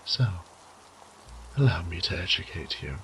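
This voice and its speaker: A man playing a sadistic, condescending vampire lord in a roleplay audio drama, theatrical and taunting, with breathy whispered phrases. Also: low voice, very animated, unhurried, fairly bright tone, a little hiss or hum, exaggerated voice. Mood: mocking, menacing.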